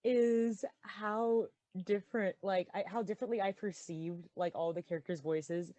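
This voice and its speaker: A woman's voice: medium pitch, fairly even intonation, moderate pace, warm tone, dry recording, dull audio. A woman giving casual commentary as a podcast cast member in a group chat.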